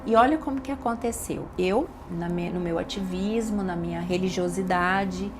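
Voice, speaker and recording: A woman's voice: fairly low pitch, moderate pace. A middle-aged woman with a Brazilian Portuguese accent, a doctor and podcast guest, giving a personal testimony with emotional, emphatic repetitions. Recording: audible background noise.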